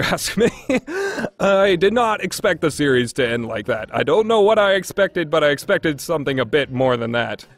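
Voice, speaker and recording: A man giving a casual, opinionated game review in a blunt, conversational way. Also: a little high. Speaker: video game reviewer hosting a podcast.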